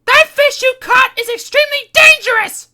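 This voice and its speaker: A woman's voice: moderate pace, pushed and bright. A woman voicing a frantic young woman with claws who shrieks warnings. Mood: ominous. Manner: shrill, screaming warnings.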